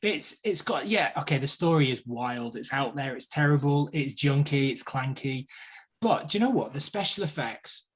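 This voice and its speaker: Husky man in his forties talking films. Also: mid-range voice, animated, normal speed. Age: 40s. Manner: emphatic, repeats phrases for effect. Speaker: podcast panellist. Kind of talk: casual film discussion.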